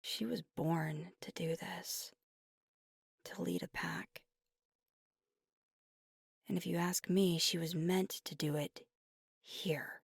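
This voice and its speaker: A woman playing an earnest, conflicted young werewolf girlfriend in an intimate ASMR roleplay, speaking softly and candidly, often near a whisper, close to the mic. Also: fairly low pitch, steady tone, gravelly, husky, no echo, heavily acted. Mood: fervent, admiring. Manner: deliberate, candid, casually profane. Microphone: close microphone, dry.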